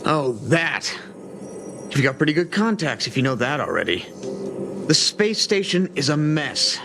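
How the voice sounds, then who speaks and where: Shifty defensive game character, high voice jumping around, grumbly, music behind. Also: male voice, sing-song, a little slow. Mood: grudging, weary. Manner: suspicious, protesting, grumbling. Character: shifty, defensive male space station worker. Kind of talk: scripted game dialogue.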